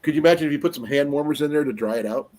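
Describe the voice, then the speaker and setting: Guy hosting a chill live stream, voice getting a lil raspy, lots of ums while he looks stuff up. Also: on the high side, very fast, a little mellow, slight background noise. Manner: rambling, trails off with fillers while looking things up. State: voice going slightly raspy. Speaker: livestream host. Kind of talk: casual live-stream chat.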